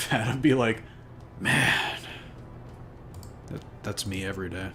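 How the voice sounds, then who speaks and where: A man with a deep, low voice, chatting casually while modding on a live stream, talking to himself and sounding tired and flat. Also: slow pace, slight background noise. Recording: music playing behind.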